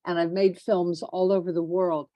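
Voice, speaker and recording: A woman's voice: lowish voice, flat delivery, normal speed, mellow, no room sound. A woman joining an online discussion as a participant, chatting candidly and appreciatively. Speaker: audience participant, filmmaker. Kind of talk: casual spoken comment.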